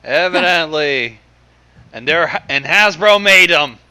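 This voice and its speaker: A man's voice: on the high side, expressive, takes it slowly, sharp tone, clean tone. A man making casual, joking comments alongside a livestreamed story reading.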